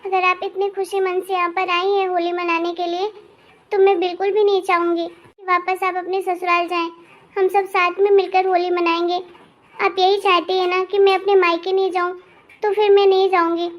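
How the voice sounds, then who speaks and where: A woman voicing a gentle, self-sacrificing young daughter-in-law in an animated family drama, pleading and deferential. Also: very high voice, moderate pace.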